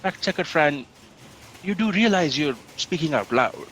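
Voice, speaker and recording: Man playing high-voiced, nervous space office assistant. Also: expressive, a little slow, fairly bright tone, a little hiss or hum. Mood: polite, prim. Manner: hesitant, stammering, prim. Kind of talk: sci-fi satire audio drama acting.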